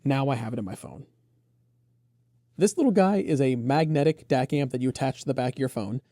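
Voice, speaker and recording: A man with a mellow, relaxed voice presents a product review. He is conversational and enthusiastic, moving through features in detail. The sound is dry, with very little room to it. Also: medium pitch, fairly quick, no echo.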